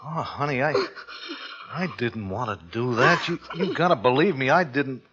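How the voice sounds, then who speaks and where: A man's voice: medium pitch, moderate pace, a bit bright, husky. An actor playing a controlling, suspicious husband in a 1940s radio thriller, with clipped tough-guy talk that is both soothing and threatening. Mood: soothing, uneasy. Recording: dull old radio recording.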